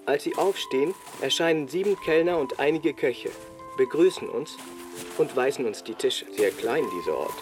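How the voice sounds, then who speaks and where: A man's voice: on the high side, lively intonation, moderate pace. A man narrates a scripted first-person account in short, clipped sentences. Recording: prominent music under the voice. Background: music.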